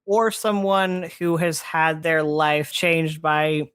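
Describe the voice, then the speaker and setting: A podcast host in their late twenties explaining fantasy lore in casual chat, halting and chuckly but enthusiastic. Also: mid-range voice, steady tone, a bit bright, smooth, no echo, studio quality. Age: late 20s.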